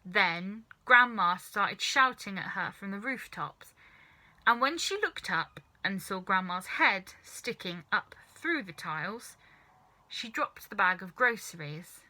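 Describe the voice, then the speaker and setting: A woman narrates a children's book expressively. Her voice is bright and crisp at a middle pitch, with very lively intonation. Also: takes it slowly, poor audio. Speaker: storybook reader.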